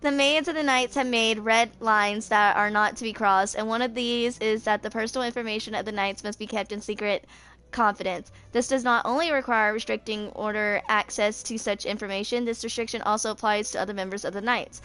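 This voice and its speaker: Clear, higher-pitched young woman reading aloud. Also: steady tone, brisk, a bit bright. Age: 20s. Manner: stumbles over words while reading. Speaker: gaming video creator. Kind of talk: reading game character lore aloud.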